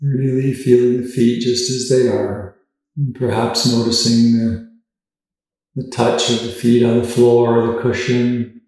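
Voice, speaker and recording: A man leading a guided meditation as a teacher, gently and unhurriedly, leaving long pauses between phrases. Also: mid-range voice. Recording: very echoey room, distant from mic.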